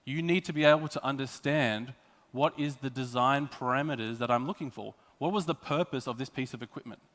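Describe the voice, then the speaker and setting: A man's voice: mid-range voice, fairly quick, fairly bright tone. Australian man presenting in a reverberant hall. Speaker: conference speaker. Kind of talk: prepared technical presentation. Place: conference hall. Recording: echoey hall with long reverb.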